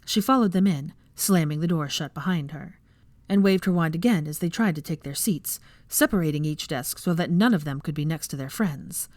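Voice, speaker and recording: A woman narrates a piece of fan fiction in a scripted storytelling style. Her voice sits slightly low, and the recording is dry, with very little room around it. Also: normal speed, a little mellow, no echo. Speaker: audiobook narrator.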